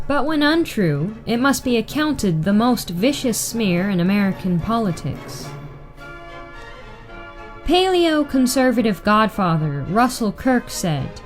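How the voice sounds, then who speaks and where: A woman reading a written political article aloud in a measured, deliberate way. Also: mid-range voice. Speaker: reader.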